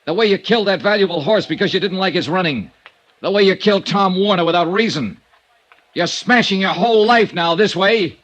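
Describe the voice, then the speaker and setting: An actor playing a hard-boiled insurance investigator, clipped and firm, in a 1950s radio drama with narration. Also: male voice, sits high, fairly quick, fairly bright tone. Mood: urgent.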